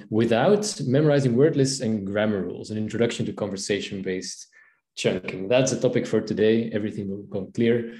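A man's voice: mid-range voice, warm tone. A man in his thirties from Belgium, a Dutch native speaker with a Belgian Dutch accent, giving an online language-learning talk over a video call, friendly and full of fillers and restarts. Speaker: language teacher and presenter. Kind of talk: online conference presentation. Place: home room, video call. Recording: echoey room.

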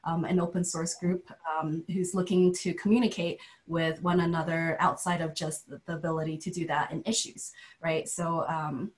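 A woman panelist on a video call telling an example in a relaxed way, with many "um" and "you know" fillers. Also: deep voice, moderate pace, low-quality recording. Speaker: panelist, engineering leader.

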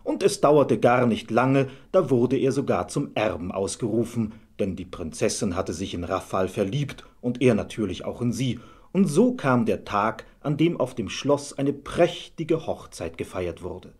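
A man with a husky voice narrating a scripted fairy tale as a storyteller. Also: mid-range voice, animated, measured pace, a little mellow.

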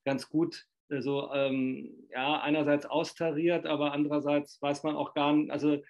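A man's voice: fairly high pitch, little pitch movement, normal speed, darkish tone. A middle-aged man interviewing over a video call from his living room, rambling with many fillers and tag questions. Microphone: video call webcam. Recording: dull lo-fi webcam audio.

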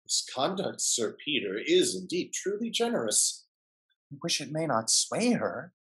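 A middle-aged male actor playing a smooth-talking, hypocritical gentleman schemer in a theatrical period stage comedy. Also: a little high, big pitch swings, measured pace, rough recording. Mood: pious, modest. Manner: theatrical, emphatic period speech. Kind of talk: Restoration-style stage comedy over video call. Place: indoor room, video call.

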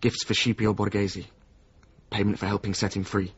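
A painter's former apprentice, weary and resentful. His voice is low and very husky, with a natural, conversational radio play delivery. The recording is slightly dull. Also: flat delivery, normal speed. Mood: matter-of-fact. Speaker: radio drama actor. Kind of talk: naturalistic radio drama dialogue.

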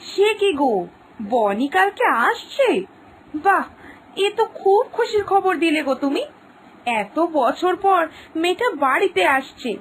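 A woman's voice: very high voice, lively intonation, a little slow, long pauses, rough recording. A woman voicing a nosy village wife in a cartoon, gossipy, inquisitive and emphatic in her way of talking. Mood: impressed, pleased. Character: nosy, opinionated young village wife and neighbour. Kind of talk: dramatised cartoon dialogue.

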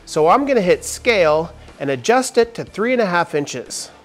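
Upbeat man with a lively voice. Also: sits high, normal speed, soft background music. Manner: upbeat, emphatic sentence openers. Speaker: woodworking channel host. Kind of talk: scripted tutorial narration. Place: large workshop with wooden walls. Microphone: lapel mic.

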